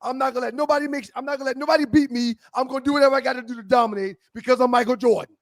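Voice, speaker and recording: A man's voice: very high voice, fairly even intonation, very fast, no room sound, studio quality. A man co-hosting a live sports talk show, chatting casually, reading viewer comments and rambling through his points. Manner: rambling, restarts, laughs along.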